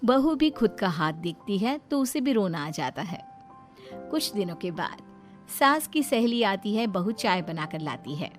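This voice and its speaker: A woman's voice: mid-range voice, sing-song, measured pace. Female storyteller, mid-range voice, scripted dramatic narration over faint music.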